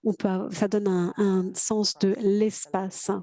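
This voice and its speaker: A woman interpreting a conference talk simultaneously over a video call. She speaks haltingly, with many restarts and fillers. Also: mid-range voice, level tone, dark tone.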